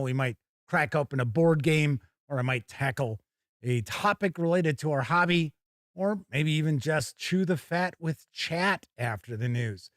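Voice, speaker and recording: Folksy male live-stream host, higher-pitched voice. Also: no echo, clean studio sound. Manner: folksy, hearty showman patter. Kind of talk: casual live stream chat.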